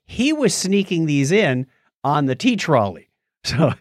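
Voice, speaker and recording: A man's voice: fairly high pitch, very animated, a little slow, no echo, studio quality. A man co-hosting a podcast, casually retelling a story in a conversational way with asides. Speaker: podcast co-host. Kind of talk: casual chat, recounting a documentary.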